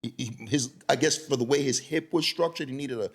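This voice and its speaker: A man's voice: mid-range voice, a little fast, gravelly, breathy edge. A middle-aged man hosting a podcast, talking loosely and telling stories in a casual, rambling way. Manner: loose, storytelling, lots of "you know what I mean". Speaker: podcast host. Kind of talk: casual conversational interview.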